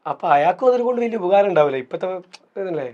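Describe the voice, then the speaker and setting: A man's voice: sits high, animated, very fast, a little mellow, dull audio. A man, chatting casually as a guest in an interview. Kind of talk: casual chat.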